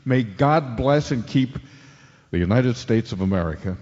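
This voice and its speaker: A man acting as a steady radio news anchor during an alien invasion in a radio drama. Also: medium pitch, normal speed, lightly acted. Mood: grave, urgent. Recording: dull lo-fi audio with long reverb.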